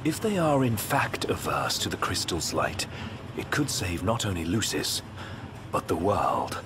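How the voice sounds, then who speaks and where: A man's voice: on the low side, sing-song, measured pace, noisy background. A man playing an earnest, articulate strategist in a video game, formal and precise in his wording. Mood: earnest, measured. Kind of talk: video game drama acting. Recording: music behind the voice.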